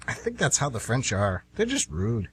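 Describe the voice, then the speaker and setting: A man's voice: mid-range voice, wildly swooping pitch, normal speed, a bit bright, breathy edge, heavily acted. A man playing a polite, understated hitman who poses as a friendly tourist in an amateur radio play. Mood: casual, agreeable. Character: smooth, rueful male hitman posing as friendly tourist.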